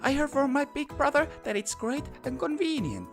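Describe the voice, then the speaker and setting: A woman's voice: high-pitched, sing-song, normal speed. Woman as a brash, wildly swooping schoolkid. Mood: challenging. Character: brash young school classmate, proud of city life. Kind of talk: visual novel voice acting. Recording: clear music behind.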